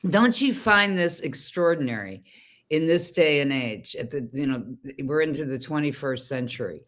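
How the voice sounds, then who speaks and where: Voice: medium pitch, animated, normal speed, a bit bright. A show host asking long, emphatic questions in a political interview.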